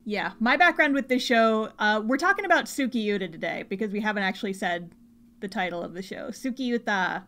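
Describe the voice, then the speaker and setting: A woman co-hosting a podcast, chatting casually in a playful, expressive and slangy way. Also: medium pitch, brisk. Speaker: podcast co-host. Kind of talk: casual chat about anime.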